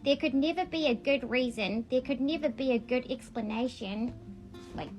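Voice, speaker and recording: A woman's voice: high-pitched, moderate pace, darkish tone, lo-fi sound, soft background music. A woman reading aloud in a childlike storybook manner, with a casual, homemade read-along style. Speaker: vlogger reading a picture book aloud.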